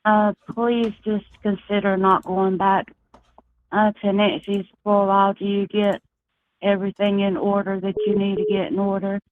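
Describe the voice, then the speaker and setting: Soft-spoken woman caller on a tinny phone line. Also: mid-range voice, flat delivery, background noise. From Texas. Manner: earnest, halting, many fillers.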